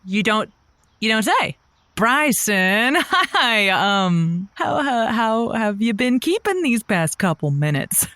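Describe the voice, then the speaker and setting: Actress as animated woman radio advice host. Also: fairly high pitch, slow pace, no echo, full character voice. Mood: wry, strained. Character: chatty woman radio call-in host, encouraging yet exasperated peacemaker. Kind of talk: scripted audio comedy drama.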